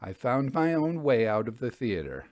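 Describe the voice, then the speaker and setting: A man's voice: medium pitch, big pitch swings, moderate pace, darkish tone, no room sound, studio quality. A man reading scripted first-person literary narration as a storyteller.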